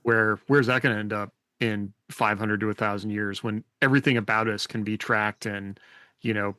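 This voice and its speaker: A man's voice: fairly low pitch, fairly even intonation, normal speed, pushed and bright, smooth, no echo. Man thinking aloud, in dry close sound. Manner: tentative, hedging, thinking aloud. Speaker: podcast co-host. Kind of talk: casual discussion.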